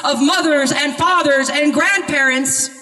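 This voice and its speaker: Echoey, high-pitched woman giving an impassioned speech. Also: sharp tone. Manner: emphatic, deliberate, declamatory with repeated phrases. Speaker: activist speaker at a vigil. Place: public vigil gathering, speaking to a crowd. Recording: echoey amplified speech from a distance.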